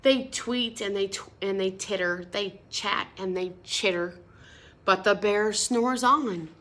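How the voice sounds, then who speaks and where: Slow, bright-voiced woman reading aloud. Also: medium pitch, slight background noise. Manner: stops to explain words and ask children questions; sing-song, expressive. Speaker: storybook reader. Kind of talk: reading a picture book aloud to children.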